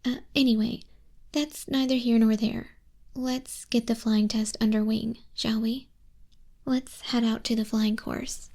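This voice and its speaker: A woman playing a stern but softening griffin flying instructor in a soft-spoken ASMR roleplay, haughty in manner, close on a binaural mic. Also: fairly high pitch, steady tone, unhurried. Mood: haughty, flustered. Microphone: close binaural microphone.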